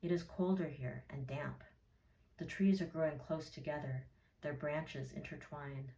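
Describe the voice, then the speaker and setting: A woman narrating a guided meditation in a soothing, measured way, leaving gentle pauses. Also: deep voice, fairly even intonation. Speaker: therapist leading a guided meditation. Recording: roomy echo, distant from mic.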